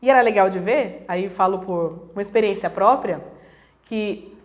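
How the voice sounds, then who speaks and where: A woman's voice: mid-range voice, normal speed. A woman from Brazil, a guest professor, explaining things conversationally and acting out examples in quoted voices. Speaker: guest, international relations professor.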